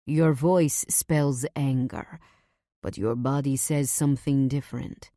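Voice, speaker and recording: A woman voicing a huge, domineering husband who speaks low, quiet and measured. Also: steady tone, dry recording, studio quality, exaggerated voice. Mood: calm, possessive. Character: big domineering husband, calm and possessive.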